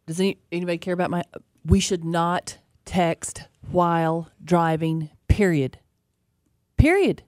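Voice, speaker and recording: Woman radio co-host, lowish voice, blunt and quick to laugh, close dry mic. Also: darkish tone, no echo. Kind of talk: casual radio chat.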